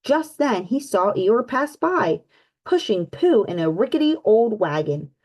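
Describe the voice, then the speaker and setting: A woman reading a picture book aloud for children, pausing to ask her young listeners questions. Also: a little high, very animated, a little mellow. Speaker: children's read-aloud host. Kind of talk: storybook read-aloud.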